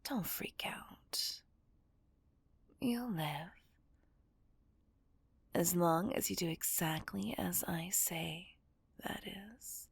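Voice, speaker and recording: Woman acting a breathy, whispering female villain kidnapper. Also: lowish voice, exaggerated voice. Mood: cold, threatening. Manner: slow, commanding, mocking. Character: menacing, dominant female villain kidnapper, possessive and taunting. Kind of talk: ASMR roleplay, whispered dramatic monologue. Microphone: close microphone.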